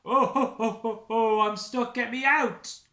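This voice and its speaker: A jolly Father Christmas figure acted out by a man, hearty and exclamatory. The storybook voice is lifted high and echoes in the room. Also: fairly even intonation, measured pace, pushed and bright, exaggerated voice. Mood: chuckling distress.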